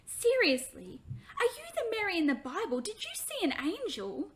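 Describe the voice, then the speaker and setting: A woman voicing an excitable, devout little girl in a children's story, eager and full of questions. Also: very high voice, normal speed, pitched above the performer's own voice. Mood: amazed.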